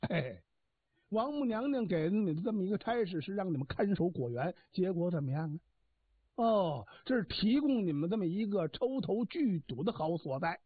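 A man's voice: high voice, expressive, normal speed, slightly rough, no room sound. A man voicing Dongfang Shuo, a sly immortal trickster who mocks and mutters asides. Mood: mocking. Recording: tinny phone-like dry sound.

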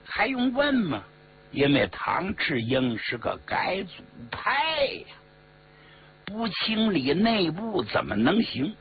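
A man voicing a smug, cold political commissar in a told story, speaking in a slow, measured, dismissive way. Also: high voice, wildly swooping pitch, voice pushed up, exaggerated voice. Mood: calm, dismissive. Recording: muffled radio-like recording with mains hum.

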